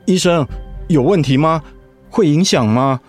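An actor playing a worried, protective young husband in a radio drama. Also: male voice, a little high, unhurried, darkish tone, soft background music. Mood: distressed.

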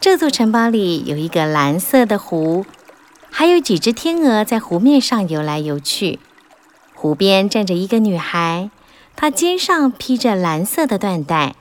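A woman narrating a children's audiobook in a gentle, expressive storytelling manner. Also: medium pitch, a little slow.

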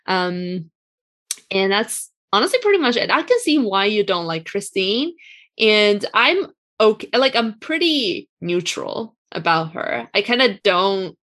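A young woman, not a native English speaker, speaking quietly and calmly with some hesitation in a casual chat. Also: mid-range voice, a little slow. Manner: quiet, composed, hesitant with fillers. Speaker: co-host.

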